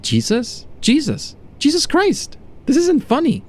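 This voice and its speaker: A man playing an agitated condemned prisoner in a horror audio story, halting and emphatic as he unravels. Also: on the high side, sing-song, dark tone. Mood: alarmed, distressed. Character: condemned male prisoner unraveling from irritation into awed despair.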